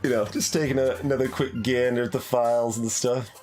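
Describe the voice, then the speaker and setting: A man's voice: mid-range voice, fairly even intonation, brisk, a little mellow. A man giving casual live commentary as a game streamer, rambling and thinking aloud as he goes. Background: faint game music.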